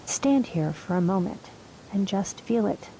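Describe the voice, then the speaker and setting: Woman meditation guide, slow and soft-spoken, mellow tone with a little vocal fry, long pauses. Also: medium pitch, lively intonation, slight background noise, low-quality recording.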